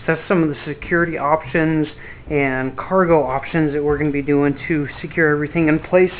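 A man giving a casual walkthrough of his cargo trailer setup. He explains things plainly and relaxed, with fillers and restarts. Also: a little high. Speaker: DIY channel host. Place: inside an empty cargo trailer. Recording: tinny lo-fi audio with faint background noise.

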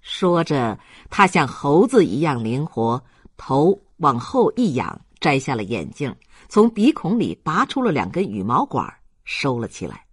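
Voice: medium pitch, slightly rough, dry recording, studio quality. An audiobook narrator reading a novel in a scripted storytelling style.

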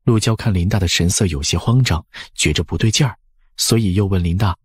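A man's voice: low-pitched, fairly quick, slightly rough, no room sound, clean studio sound. A man narrating a novel as an audiobook, scripted and dramatic.

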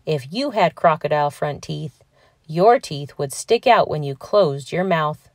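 Expressive woman reading aloud for children. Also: low voice, a little slow, dry recording, studio quality. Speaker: children's read-aloud narrator. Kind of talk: scripted storybook narration for children.

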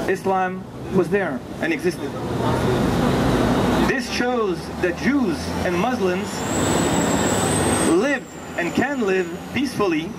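A middle-aged man from Morocco giving an earnest, deliberate prepared speech at a rally, phrase by phrase, into a handheld microphone. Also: sits high. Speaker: humanitarian organization founder, rally speaker. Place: outdoors on a city plaza. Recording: noisy outdoor street recording. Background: loud street traffic noise.

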